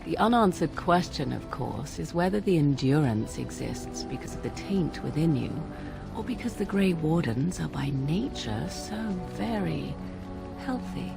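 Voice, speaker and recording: A woman's voice: deep voice, expressive, unhurried, gravelly, full character voice. An actress voicing a sly, aloof witch woman in a video game, with arch, archaic, precise phrasing. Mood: sly, teasing. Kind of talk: video game dialogue acting. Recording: dramatic game music behind.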